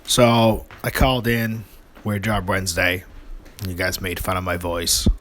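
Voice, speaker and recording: A man's voice: deep voice, level tone, a little slow, a bit bright. A man from Maine with a faint Maine accent, leaving a rambling, self-deprecating voicemail for a radio show. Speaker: caller.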